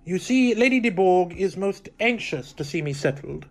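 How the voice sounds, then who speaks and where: A man's voice: sits high, lively intonation, moderate pace. Guy acting a stuffy self-satisfied vicar in a radio play, formal, speechy, pompous. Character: pompous, self-satisfied clergyman, a stiff suitor. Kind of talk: theatrical radio drama acting.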